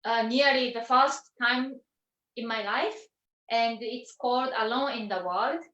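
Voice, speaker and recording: Female guest singer, Japanese accent. Slow and soft-spoken, distant echoey sound. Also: fairly high pitch, lo-fi sound. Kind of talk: casual interview chat. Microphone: video call. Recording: echoey room, distant mic.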